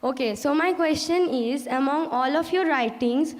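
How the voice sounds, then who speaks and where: A woman's voice: high-pitched, moderate pace. A young woman from West Bengal asks a formal, slightly hesitant question from the audience on a handheld mic. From West Bengal, India. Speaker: audience member asking a question. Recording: handheld mic in an echoey hall.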